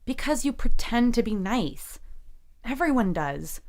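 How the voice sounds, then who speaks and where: A woman's voice: a little high, very animated, measured pace, a little mellow. A young woman acting in an audio drama as a troubled, exhausted student who is guarded and curt. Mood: bitter, hurt.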